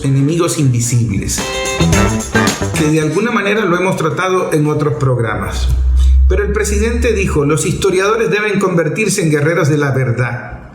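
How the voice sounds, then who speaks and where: A Venezuelan man hosting a political programme, giving off-the-cuff commentary in a didactic, emphatic way. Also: fairly high pitch. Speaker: host of a political opinion programme. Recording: roomy echo on the voice.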